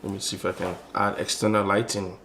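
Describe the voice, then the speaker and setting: Low-pitched man explaining a hands-on tutorial. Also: little pitch movement, fast, a bit bright, a little hiss or hum. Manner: explanatory, conversational, frequently rephrasing. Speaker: electronics tutorial presenter. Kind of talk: informal hands-on tutorial narration.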